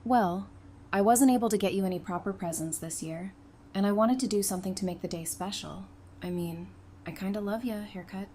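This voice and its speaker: A woman's voice: mid-range voice, brisk, warm tone, a little hiss or hum, natural voice. A woman acting in an audio drama as a teasing, affectionate woman in her early thirties, speaking casually.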